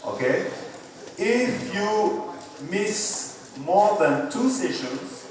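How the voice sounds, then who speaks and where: A man, a university physics professor giving a classroom lecture, halting with many fillers and repeated okays. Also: sits high, sing-song, slight background noise. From France. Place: large lecture hall. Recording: echoey hall from far off with crowd murmur.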